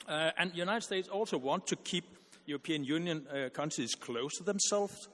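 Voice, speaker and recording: A man giving an earnest, argumentative conference panel speech, halting with frequent restarts and repeated words. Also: a little high, a bit bright, in a large hall.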